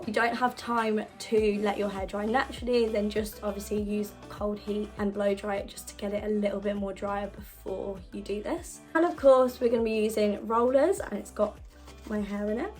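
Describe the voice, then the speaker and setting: A young woman, husky because she is unwell, chatting casually through a hair tutorial at home. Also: medium pitch, fairly even intonation, fairly quick, darkish tone, soft background music. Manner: chatty, self-deprecating. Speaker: beauty vlogger.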